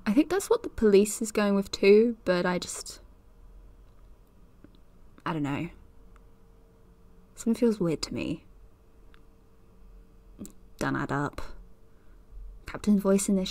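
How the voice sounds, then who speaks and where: A woman's voice: mid-range voice, dark tone, dry recording, heavily acted. An actress playing a young woman who hosts a mystery podcast, talking hesitantly and trailing off. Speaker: podcast host character. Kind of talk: audio drama acting.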